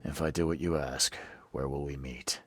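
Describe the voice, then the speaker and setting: An actor playing a weary, skeptical investigator in a video game, with a dry, blunt, probing manner. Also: male voice, a bass voice, steady tone, moderate pace, a bit bright, breathy edge, no room sound, full character voice. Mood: skeptical, stern. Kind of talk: video game dialogue acting.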